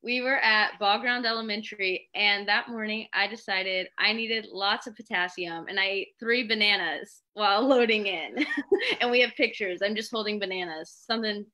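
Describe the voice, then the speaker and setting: Bright, giggly woman improv performer. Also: medium pitch, normal speed. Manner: giggly, laughs through her words. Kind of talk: casual anecdote telling.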